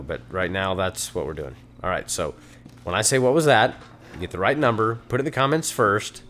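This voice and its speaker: Hoarse texan guy playing a horror game, kinda low voice, nervous chatter, faint creepy game sounds behind. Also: fairly bright tone, a little hiss or hum. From Texas. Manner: chatty, nervous, mutters and swears at scares. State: hoarse from allergies. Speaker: let's play gamer.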